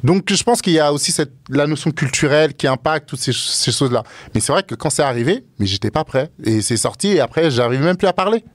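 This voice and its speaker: A man from Africa with a deep, carrying voice, chatting casually as a guest and voicing other people's remarks as he tells things. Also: animated, fast, hardly any pauses, dry recording, studio quality. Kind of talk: casual conversation.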